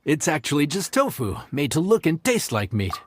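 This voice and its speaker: An actor playing a courteous, slightly flustered restaurant waiter in a video game, hesitant and folksy in manner. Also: male voice, a little high, very animated, normal speed, noisy background. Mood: explaining. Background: game music.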